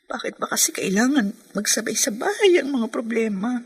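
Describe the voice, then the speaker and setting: A woman's voice: on the high side, breathy edge, full character voice. A Filipino woman playing a weary, devoted young mother and wife in an emotional, pleading radio drama style. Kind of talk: Tagalog radio drama acting.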